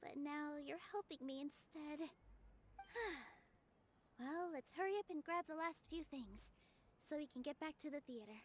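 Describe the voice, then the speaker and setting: A woman playing a sweet, soft-spoken young dancer. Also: sits high, moderate pace, a little hiss or hum, close to an everyday voice. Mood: polite. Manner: soft-spoken, apologetic and courteous. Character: gentle young female dancer, polite and sweet.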